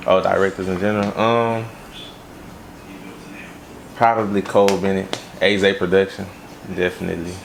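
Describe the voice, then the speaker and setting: A man's voice: sits low, flat delivery, moderate pace, gravelly, pauses often, slight background noise, rough recording. A young man from Los Angeles raised in Baton Rouge, a music video director answering interview questions in a laid-back way with lots of fillers. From Los Angeles, raised in Baton Rouge, Louisiana.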